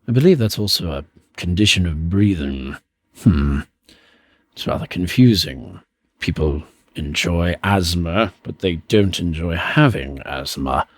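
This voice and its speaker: A man who voice acts, talking between takes in a formal, measured, deadpan way. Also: deep voice. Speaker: amateur voice actor. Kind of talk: dry monologue between takes.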